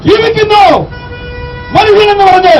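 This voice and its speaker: A man's voice: very high-pitched, level tone, moderate pace. A man giving an impassioned public address, exhorting listeners with rhetorical questions in a declamatory manner. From Kerala, India. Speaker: activist, public speaker. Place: outdoor street rally. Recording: distant mic, outdoor street noise.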